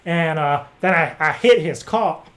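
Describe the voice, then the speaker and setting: A man making a prank phone call, rambling in an earnest act with hesitant, halting phrasing. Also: on the high side, fairly bright tone. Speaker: prank caller. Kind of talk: improvised phone prank chat.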